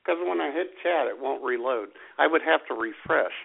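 A man's voice: medium pitch, animated, moderate pace, creaky, breathy edge. A man co-hosting a live radio show, bantering casually. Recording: slightly dull lo-fi internet radio.

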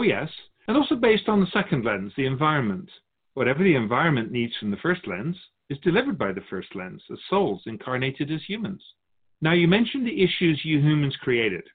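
A man playing a wise, wry otherworldly keeper of souls in a scripted dialogue, measured and teasing, fond of rhetorical questions. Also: mid-range voice, breathy edge, phone-quality sound.

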